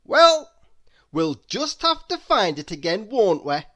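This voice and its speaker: Lady doing a happy friendly storybook fella, loud and bouncy, pitch jumping all over. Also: big pitch swings, slow pace. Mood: encouraging. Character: cheerful, kindly happy man, eager to help.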